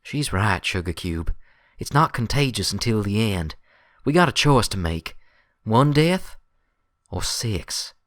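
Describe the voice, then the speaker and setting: A man's voice: on the low side, sing-song, a little slow, fairly bright tone, no echo, clean studio sound, pitched above the performer's own voice. Man doing a grim, folksy farmer mare. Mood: grim, resolute. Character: stoic female farmer pony, grim and plain-spoken.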